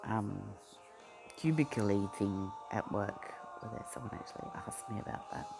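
A man's voice: on the low side, normal speed, creaky, music in the background. A man with a broad Australian accent chatting casually in a vlog, rambling and getting sidetracked, with many ums and restarts. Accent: Australian, broadened by life in Queensland. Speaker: vlogger. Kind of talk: rambling personal chat. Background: a pop song playing.

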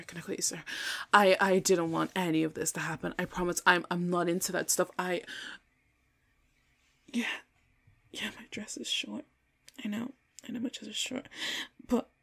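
A woman acting a foul-mouthed young woman in an audio drama, speaking in a halting, informal way with breathy murmurs. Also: on the low side, a bit bright, dry recording, exaggerated voice. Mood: desperate, pleading. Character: foul-mouthed young woman, casual with friends, pleading when threatened. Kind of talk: solo audio roleplay drama, one side of dialogue.

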